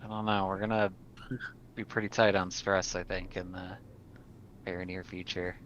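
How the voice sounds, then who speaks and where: Bright-edged, low-pitched male player. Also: little pitch movement, normal speed, dull audio, slight background noise. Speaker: tabletop game player. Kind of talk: casual game-table chat.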